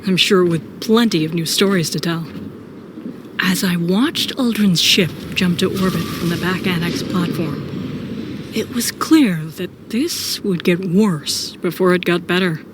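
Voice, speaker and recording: A woman's voice: fairly low pitch, measured pace, background noise, lightly acted. A woman playing a dutiful guardian warrior, narrating events in a scripted audio drama, weary but resolute. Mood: measured, concerned.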